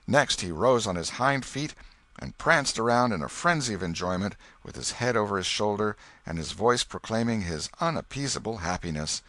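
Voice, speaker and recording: Expressive low-voiced male storyteller. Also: no room sound. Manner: expressive, varied storytelling delivery. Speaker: narrator. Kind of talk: storybook reading.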